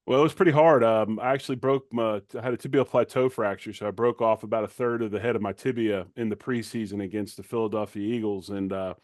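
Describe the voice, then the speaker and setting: A man's voice: lowish voice, fairly even intonation, fast talker, few pauses, darkish tone, no echo, studio quality. A middle-aged man, a former player turned commentator, giving conversational sports analysis in an informal, plain-spoken way.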